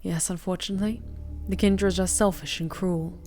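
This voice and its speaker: A young woman streamer reading a visual novel aloud, with expressive bursts of excited commentary. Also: fairly low pitch, moderate pace, faint music behind. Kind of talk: game narration with live reactions.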